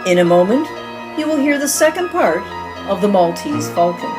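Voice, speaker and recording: A woman's voice: medium pitch, big pitch swings, normal speed, music in the background. A woman serving as announcer and narrator of a radio play, telling the story in scripted narration. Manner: storytelling delivery.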